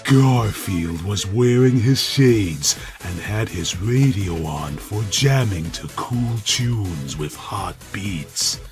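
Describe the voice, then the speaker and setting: Guy reading a story dramatically, takes it slow, music going behind him. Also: fairly low pitch, expressive. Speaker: narrator.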